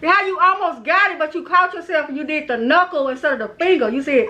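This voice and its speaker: A woman's voice: high voice, fast talker, sharp tone, a little hiss or hum. A woman from Texas hosting a prank video, trash-talking strangers playfully over a phone video chat. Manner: teasing, playful trash talk. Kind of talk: casual prank chat on a video call app.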